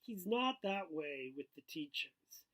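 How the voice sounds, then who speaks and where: A man voicing a sullen, stubborn teenage boy artist from a novel, terse and quietly defiant. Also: fairly high pitch, big pitch swings, takes it slowly, exaggerated voice. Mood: bitter, angry. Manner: terse, deflecting.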